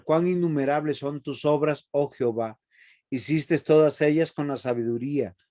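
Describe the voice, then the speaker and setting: A man's voice: mid-range voice, darkish tone. A man teaching a Bible seminary class in a preachy way, often asking the students questions.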